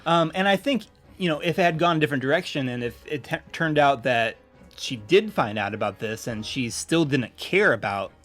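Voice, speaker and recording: A man's voice: medium pitch, lively intonation, normal speed, fairly bright tone. American man in casual podcast discussion, music behind. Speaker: podcast co-host.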